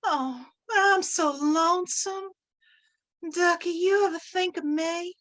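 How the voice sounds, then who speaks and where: High, slow, sugary flirt played by a woman. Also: a bit bright, exaggerated voice. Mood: flirtatious. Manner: coy, drawling, cooing. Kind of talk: old-time radio comedy acting.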